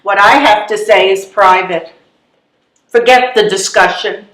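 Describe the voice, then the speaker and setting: A woman's voice: mid-range voice, measured pace, a bit bright, close to an everyday voice. An older woman playing a forthright, wry senior lady in a readers theatre comedy, with pointed delivery. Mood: insistent, frank. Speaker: amateur readers theatre performer. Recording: roomy, echoing live stage recording.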